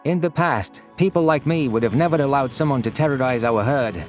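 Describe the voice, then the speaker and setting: Mid-pitched man narrating with faint music. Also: brisk. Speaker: narrator of audio stories. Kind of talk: scripted first-person story narration.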